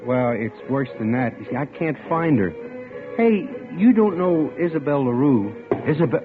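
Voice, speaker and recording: A man plays an earnest bank clerk who narrates his own search and stammers politely when he is flustered. His pitch rises and falls a great deal, and the thin, phone-like sound carries music behind it. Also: lively intonation, normal speed, lightly acted. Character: earnest male bank clerk hunting his missing fiancée. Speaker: narrator and lead. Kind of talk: 1940s radio acting. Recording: tinny old radio broadcast with music.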